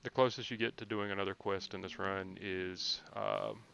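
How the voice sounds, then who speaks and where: A man's voice: fairly low pitch, flat delivery, moderate pace, dull audio. A man giving casual commentary on his own video game playthrough, often muttering asides to himself. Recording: clearly noisy background.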